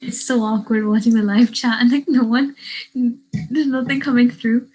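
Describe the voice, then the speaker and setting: A woman's voice: fairly high pitch, level tone, moderate pace, dark tone, slightly rough, rough recording. A teenage girl from Northern Ireland chatting casually on a livestream, rambling and answering questions from the live chat. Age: teens. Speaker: YouTuber. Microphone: webcam.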